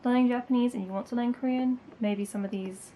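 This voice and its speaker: A woman's voice: fairly high pitch, steady tone, fairly quick, warm tone, slight background noise, rough recording. A young woman from England, chatting casually to camera as a language-learning vlogger, full of likes and ums. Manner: chatty, lots of likes and ums, light laughs.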